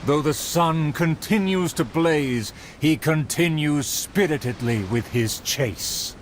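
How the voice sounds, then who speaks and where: An actor narrating a storybook-like play in a game, in a formal, dramatic storytelling manner. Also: male voice, a little high, slow, slight background noise. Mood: stately. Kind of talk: scripted theatrical narration.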